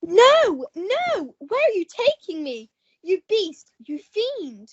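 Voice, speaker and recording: A woman's voice: very high-pitched, big pitch swings, slow, no room sound, muffled recording, heavily acted. A woman playing a helpless, indignant young damsel in distress, wailing in a radio melodrama. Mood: defiant. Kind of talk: old-fashioned radio melodrama acting.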